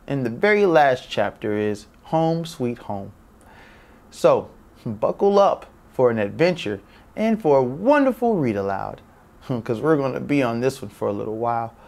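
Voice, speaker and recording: A man in his thirties hosts a read-aloud for young children. His medium-pitched voice swings up and down in an animated, upbeat way, with a smile in it. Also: normal speed. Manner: expressive, upbeat, smiling delivery. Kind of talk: presenting a story to young children. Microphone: lapel mic.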